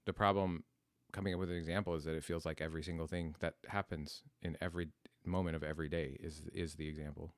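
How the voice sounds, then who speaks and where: Podcast host, male, 40s, American. Very deep voice recorded dry, thinking aloud through a personal story. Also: level tone, fast talker, hardly any pauses, no room sound. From United States. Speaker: podcast host telling their life story. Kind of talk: reflective, unscripted personal storytelling.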